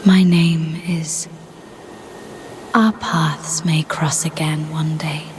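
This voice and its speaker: A mysterious, wistful woman in a game cutscene. She speaks slowly and solemnly in a lowish, breathy near-whisper, as if reciting fate. Light noise sits behind her. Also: flat delivery. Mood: softly resolute. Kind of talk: video game dramatic acting.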